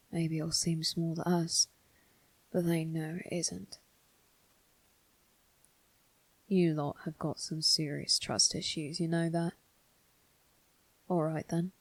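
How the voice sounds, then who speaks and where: A woman's voice: fairly low pitch, husky, no room sound, rough recording. A woman voicing a sly, teasing demon servant with slangy, informal speech in an ASMR roleplay. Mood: wary, teasing.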